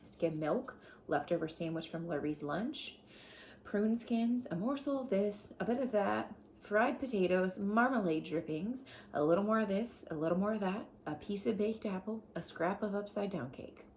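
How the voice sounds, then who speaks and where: A woman reading a children's storybook aloud as a teacher, deliberate and explanatory as she addresses young listeners. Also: medium pitch, animated, darkish tone, a little hiss or hum.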